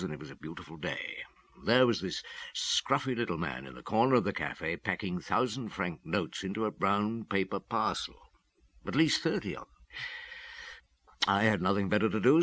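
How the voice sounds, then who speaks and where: Guilt-ridden radio-drama detective: low, expressive, reflective, dry recording. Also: male voice, no room sound. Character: brooding, guilt-ridden police detective, world-weary middle-aged man.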